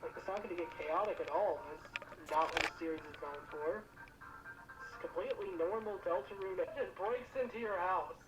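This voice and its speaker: A woman's voice: fairly low pitch, moderate pace. A woman narrating a comic dub casually, with sarcastic, joking asides. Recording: muffled lo-fi mic with music behind. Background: music.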